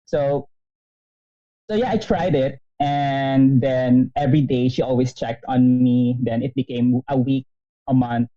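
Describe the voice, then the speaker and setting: A man in his thirties, a podcast guest telling his story in a casual, animated way, laughing often and restarting sentences. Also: mid-range voice, unhurried, lo-fi sound. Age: 30s.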